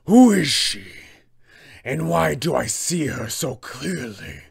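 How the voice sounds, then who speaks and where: A man's voice: on the high side, animated, takes it slowly, creaky, slightly rough, voice pushed up, heavily acted. A man voicing a big, menacing villain enforcer from a tabletop game, threatening and commanding in his delivery. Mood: haunted brooding. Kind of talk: acted tabletop villain dialogue.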